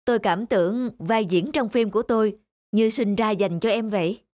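A man voicing a courteous adult in a cartoon, speaking politely and in a measured way. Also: high voice, darkish tone, no echo, clean studio sound, natural voice. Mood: complimentary. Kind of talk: dubbed cartoon dialogue.

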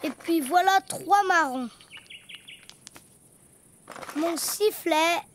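Little boy donkey, preschool cartoon, cheerful, childlike, very high voice, slow-paced, exclaiming. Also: a bit bright, slight background noise, exaggerated voice. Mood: proud, excited. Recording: music behind the voice.

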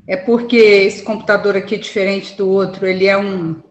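A woman's voice: mid-range voice, little pitch movement, normal speed, dull audio, slight background noise. Echoey middle-aged woman on a call. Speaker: video call participant. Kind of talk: casual chat. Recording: roomy echo.

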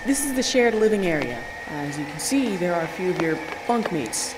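A man playing a calm, formal doctor in an audio drama, measured and authoritative. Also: high voice. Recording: noticeable room ambience noise.

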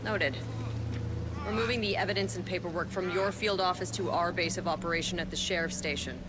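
An actress playing a curt, authoritative government agent in a video game, speaking in a clipped way. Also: female voice, lowish voice, steady tone, a little fast, very noisy, lightly acted. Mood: curt, official. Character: curt, official government agent taking over a case. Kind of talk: dramatic video game acting.

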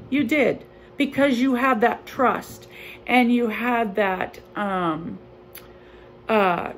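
Slow, earnest older woman pastor. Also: on the high side, husky. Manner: slow, earnest and conversational, with fillers. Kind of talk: home-recorded sermon. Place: living room at home. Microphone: phone or webcam close up.

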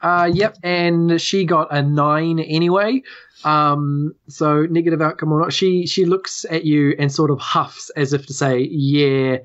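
A man's voice: a little high, steady tone, unhurried, a bit bright. New Zealand man, slightly dull recording. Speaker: game master. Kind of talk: casual tabletop game narration.

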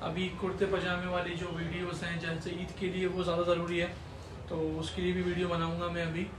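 A man's voice: high-pitched, completely flat delivery, a little fast, echoey room, background noise. A young man hosting his own vlog, chatting casually to the camera from a small shop room and asking viewers for support. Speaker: vlogger host.

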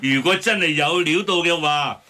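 A man's voice: fairly high pitch, normal speed, sharp tone. A man playing a brash, dismissive middle-aged character who blusters and argues in a radio drama. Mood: scornful, blustering.

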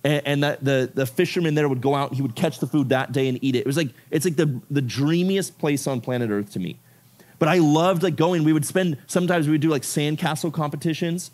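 A man's voice: on the high side, brisk. Chatty man preaching to teens. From Ecuador. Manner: casual, animated, filler-heavy with frequent "like" and "right?". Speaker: youth pastor. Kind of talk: youth sermon. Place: youth service room with about fifty people.

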